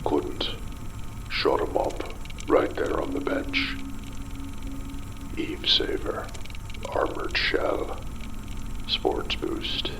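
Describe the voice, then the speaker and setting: An actor playing a desperate, unraveling father in a ruined underwater city, muttering a halting, bitter monologue in a gravelly, breathy voice for an audio drama. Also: in a whisper. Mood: uneasy exhilaration. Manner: halting, muttering, broken pauses, bitter swearing.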